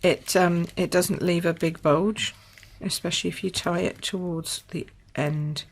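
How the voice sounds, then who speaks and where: A woman from the UK, slightly croaky, demonstrating a papercraft project at her table in a chatty, think-aloud way. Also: fairly low pitch, steady tone, unhurried, a little hiss or hum. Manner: chatty, thinks aloud with fillers and small apologies. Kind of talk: casual craft tutorial. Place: indoors at a craft table.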